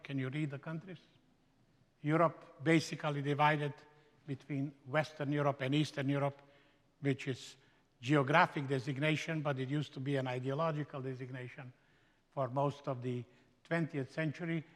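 Man, Slovenian accent, slightly high voice, emphatic sermon, reverberant chapel. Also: fairly bright tone. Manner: slow, emphatic preaching with stretched-out phrases. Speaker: guest speaker. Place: large chapel. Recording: echoey hall with long reverb.

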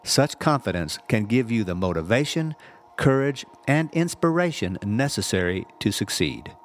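A man reading a scripted spiritual text aloud in a measured, deliberate, instructive way. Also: lowish voice, big pitch swings, slight background noise. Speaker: audiobook-style reader, reverend.

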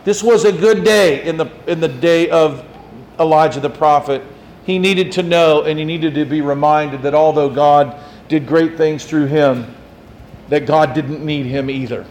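Conversational pastor preaching, fairly high voice. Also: male voice, fairly even intonation, measured pace, darkish tone, slight background noise. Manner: conversational preaching, asks the congregation questions, teases listeners. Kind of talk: sermon.

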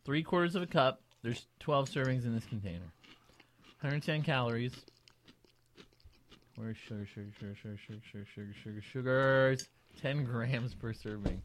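A man's voice: mid-range voice, moderate pace, a little hiss or hum. A man co-hosting a podcast, goofy and playful, talking while he eats. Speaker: podcast co-host. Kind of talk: casual chat.

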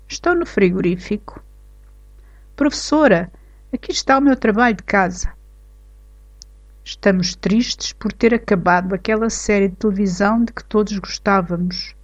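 A woman with a European Portuguese accent reading example sentences aloud in a slow, careful, clearly articulated way for a language lesson. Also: medium pitch. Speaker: language teacher. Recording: slightly dull lo-fi recording.

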